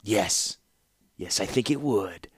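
A man's voice: on the low side, animated, slow pace, breathy edge, no room sound, studio quality, full character voice. A man voicing an impulsive, aggressive male captain of the guard who speaks bluntly. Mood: forceful. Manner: forceful, blunt.

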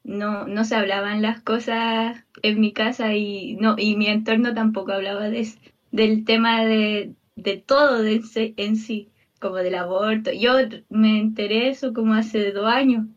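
A woman's voice: mid-range voice, steady tone, a little slow, distant mic, lo-fi sound. A young woman speaking earnestly in a casual group chat, with hesitant restarts.

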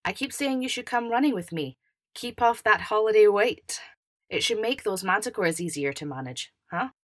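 A woman's voice: medium pitch, animated, normal speed, natural voice. A woman playing an overbearing, self-important elder brother with a preachy, over-hearty manner in a fan audio drama. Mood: hearty, patronizing.

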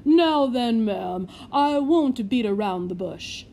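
A woman voicing a haughty, stiff aristocratic gentleman who speaks slowly and commandingly. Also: on the high side, animated, a little hiss or hum.

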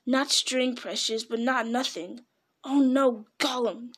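A woman's voice: fairly high pitch, fairly even intonation, takes it slowly, slightly rough. A woman voicing a slimy, wicked creature in a storybook, hissing its words, repeating itself and wheedling spitefully. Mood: sly spiteful. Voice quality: hissing, sibilant. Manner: muttering repetitions, speaks of itself as "us".

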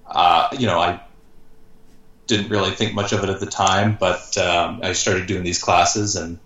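Thirtysomething guy, deep-ish voice, chill rambly podcast guest, echoey room. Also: measured pace, distant mic, lo-fi sound. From born in Canada, grew up in Georgia, USA. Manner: relaxed, rambling with fillers. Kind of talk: casual storytelling in an interview.

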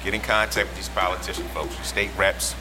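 A man's voice: deep voice, little pitch movement, fairly quick, over music. A man in a casual podcast panel, exhorting listeners and repeating his points, recorded in a lounge. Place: cigar lounge. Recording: clearly noisy lounge recording.

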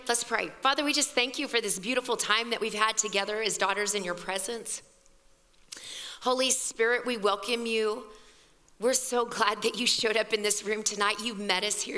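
Hoarse woman preaching in a reverberant hall. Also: medium pitch, sharp tone. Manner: fervent, conversational preaching with exclamations. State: scratchy, hoarse voice. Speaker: pastor. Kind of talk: sermon at a women's evening service. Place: church hall. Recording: long reverb of a large hall.

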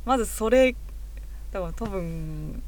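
A woman's voice: mid-range voice, lively intonation, measured pace, darkish tone. A woman podcast host chatting casually as a fan, agreeing emphatically with her friends.

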